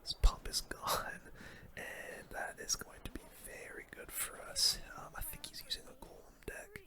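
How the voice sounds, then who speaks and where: A man whispering casual, slangy gaming commentary in a breathy ASMR style, close to the microphone. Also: a little slow, bright, forceful tone. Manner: casual, slangy gamer talk. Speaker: gaming video host.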